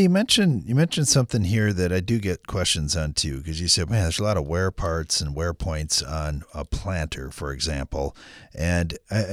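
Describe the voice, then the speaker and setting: Low-voiced male farm radio host. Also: dry recording, clean studio sound. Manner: friendly, jokey questioning. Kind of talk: casual interview.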